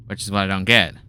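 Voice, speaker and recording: Man, lowish voice, very bright and forceful tone, casual gameplay chatter, faint noise behind. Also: smooth. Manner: chatty, laughs, muttering to himself when stuck. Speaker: let's play gamer.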